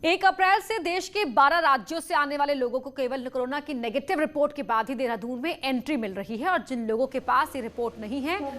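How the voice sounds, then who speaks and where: A woman's voice: high-pitched, a bit bright. A woman news presenter reading a scripted bulletin in a formal, emphatic newsreader manner.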